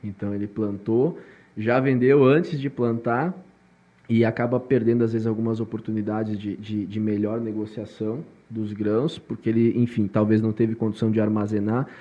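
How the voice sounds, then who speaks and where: A man's voice: fairly low pitch, little pitch movement, moderate pace, warm tone, dull audio. A male podcast host who asks long, rambling questions full of restarts. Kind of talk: podcast interview.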